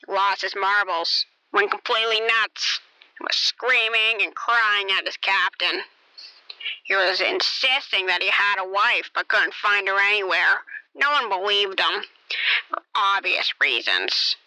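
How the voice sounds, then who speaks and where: A woman's voice: medium pitch, a little slow, pushed and bright, dry recording, rough recording. A woman playing a jaded, gossipy clinic receptionist in an amateur radio play, blunt, dismissive and matter-of-fact.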